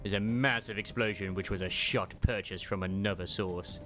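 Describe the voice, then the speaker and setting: A man's voice: fairly low pitch, steady tone, normal speed, over music. A man reading scripted story narration as a voice-over for a fandub.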